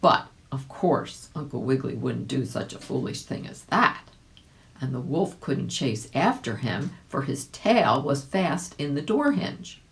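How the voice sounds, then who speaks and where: Slow, animated woman reading a children's story. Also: very deep voice, creaky. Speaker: volunteer story reader. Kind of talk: expressive children's storybook narration.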